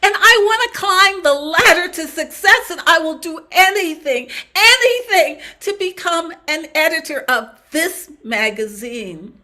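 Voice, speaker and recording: A woman's voice: extremely high pitch, lively intonation, unhurried, few pauses, pushed and bright, full character voice. A woman in an improvised comedy playing an eager young employee who adores her boss and speaks earnestly with hesitant starts. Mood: ambitious. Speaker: improv performer.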